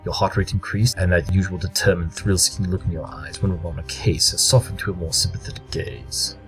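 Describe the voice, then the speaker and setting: Male actor as blunt genius detective, low register, formal diction, precise, audio drama, light music underneath. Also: fairly even intonation, brisk, low-quality recording. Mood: dry, analytical. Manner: precise, formal, deductive, matter-of-fact. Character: brilliant, blunt, formal pony consulting detective.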